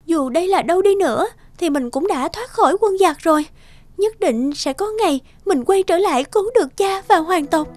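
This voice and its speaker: A woman voicing a gentle, loyal young princess in a cartoon fairy tale, polite and earnest. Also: very high voice, lively intonation, normal speed. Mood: weary, wondering.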